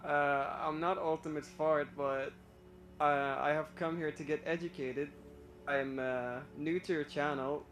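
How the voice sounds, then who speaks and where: A nervous young man from Iraq with a voice a bit higher than most, stumbling through his story with fillers and restarts. Faint music plays behind him. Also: steady tone, unhurried, a bit bright. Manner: hesitant, full of fillers and restarts; nervous, admits stage fright. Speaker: caller on a live stream. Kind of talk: casual personal story.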